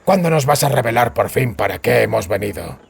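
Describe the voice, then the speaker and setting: A man voicing a gruff, curt monster hunter in an audiobook, gravelly and acidly sardonic. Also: medium pitch, lively intonation, brisk, heavily acted. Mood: acid, impatient. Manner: acid, terse. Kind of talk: audiobook character dialogue.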